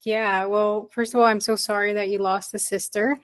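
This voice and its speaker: A woman's voice: medium pitch, flat delivery, normal speed. A woman hosting a podcast interview, asking earnest, encouraging questions. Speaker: podcast host and coach. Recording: loud guitar music behind voice. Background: guitar music.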